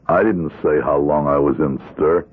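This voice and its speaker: An actor playing a weary, guarded middle-aged ex-convict in a radio drama, terse and blunt, with an American accent. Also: male voice, very deep voice, normal speed, husky, phone-quality sound. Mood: bitter, resigned. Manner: terse, flat-worded, guarded, world-weary. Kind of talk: old-time radio drama acting. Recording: band-limited radio drama with music.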